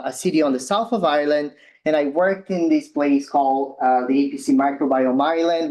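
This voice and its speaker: A man's voice: fairly high pitch, darkish tone. Brazilian-accented young man giving a talk. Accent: Brazilian Portuguese. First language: Portuguese. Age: young adult. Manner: frequent ums and uhs, loose non-native phrasing. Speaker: postdoctoral researcher giving a talk. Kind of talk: informal science presentation.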